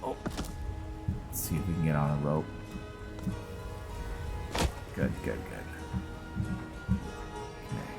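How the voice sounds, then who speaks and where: A man's voice: very deep voice, lively intonation, moderate pace, creaky. A man giving casual let's play commentary, laid-back and musing, often talking to himself as he plays. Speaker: gamer and role-play series host. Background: game music.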